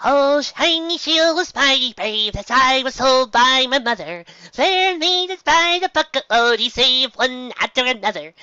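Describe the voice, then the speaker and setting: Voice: high-pitched, animated, unhurried, few pauses, sharp tone, clean tone, dry recording, dull audio, voice pushed up, exaggerated voice. A performer voicing an exuberant female cartoon pony who tells a mock-heroic ballad in a dramatic, bouncy, over-emphatic way. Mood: playful, dramatic. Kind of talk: theatrical sung storytelling.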